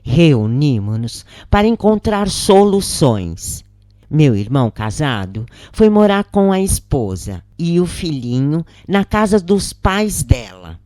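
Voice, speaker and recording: A narrator with a Brazilian accent reads a scripted first-person story in a measured, expressive way with deliberate pauses. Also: mid-range voice, no echo, studio quality.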